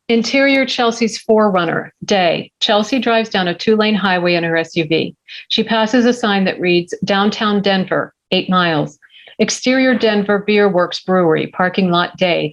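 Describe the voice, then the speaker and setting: A woman's voice: mid-range voice, normal speed. Woman narrating a script, dull lo-fi sound. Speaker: stage directions reader.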